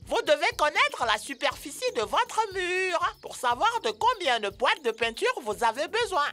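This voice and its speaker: High, swooping cartoon mother bird. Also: moderate pace, soft background music, heavily acted. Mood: instructive. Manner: expressive, instructive. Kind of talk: animated educational cartoon dub.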